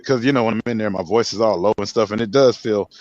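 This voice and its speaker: A man's voice: fairly low pitch, quick pace, a little mellow, dull audio. A man chatting casually on a live stream, joking crudely and laughing often. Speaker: stream co-host.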